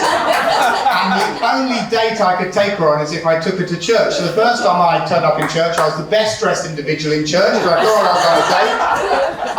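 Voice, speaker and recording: A man in his forties, native Hebrew speaker from Israel, giving a conference talk in a storytelling way with humour and rhetorical questions. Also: high-pitched. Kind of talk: sermon-style talk. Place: large hall with audience. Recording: echoey hall, far from the mic.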